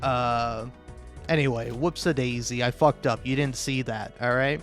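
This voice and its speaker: A man giving casual, rambling game commentary in a halting, jokey way, often repeating words and restarting, swearing freely and putting himself down. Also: mid-range voice. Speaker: video game critic and YouTuber. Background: music.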